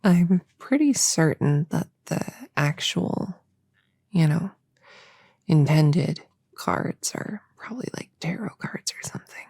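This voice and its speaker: A friendly, slightly bumbling novice witch acted by a woman murmurs through an ASMR roleplay. The voice is low, with a creaky, breathy edge that often slips into a whisper. The delivery is unhurried, casual and a little hesitant. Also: animated, warm tone, no room sound, heavily acted. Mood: musing, casual. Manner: hesitant, casual, self-correcting. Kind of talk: soft-spoken ASMR roleplay.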